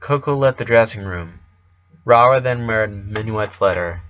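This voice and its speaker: A man reading a scripted story as an amateur narrator, halting and stumbling a little over the text. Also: fairly low pitch, fairly bright tone, highs cut off.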